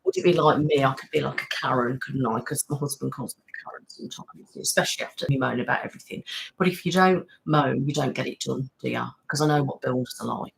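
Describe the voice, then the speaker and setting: A woman's voice: very deep voice, fast, gravelly, poor audio. Chatty woman hosting a live stream. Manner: chatty, rambling, laughs often, thanks viewers by name. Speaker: ASMR channel host. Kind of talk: casual live-stream chat, reading comments.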